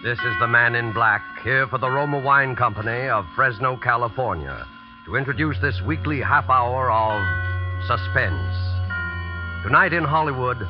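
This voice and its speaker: A man hosting a mystery show in formal, deliberate 1940s radio style with a dramatic manner. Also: medium pitch, lo-fi sound. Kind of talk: 1940s radio scripted introduction.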